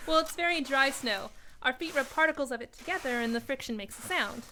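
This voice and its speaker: Woman acting sensible know-it-all young woman, high bright voice, brisk, radio play, audible noise. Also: lively intonation. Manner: brisk, explanatory, reassuring.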